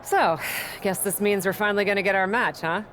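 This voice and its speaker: A woman's voice: mid-range voice, a little fast, noisy background, lightly acted. A woman playing a plucky, cocky wrestler in a video game cutscene, speaking in a casual, teasing way. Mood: friendly, teasing.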